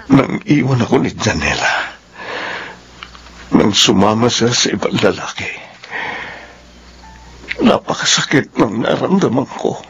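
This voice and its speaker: An actor playing a remorseful, heartbroken young lover in a radio drama, earnest and anguished. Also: male voice, mid-range voice, sing-song, a little slow, long pauses, muffled recording. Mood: anguished, confessing.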